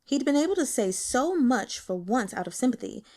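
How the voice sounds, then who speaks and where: A woman reading a novel aloud as an audiobook narrator, with expressive, dramatic storytelling. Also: high voice, normal speed. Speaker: audiobook reader.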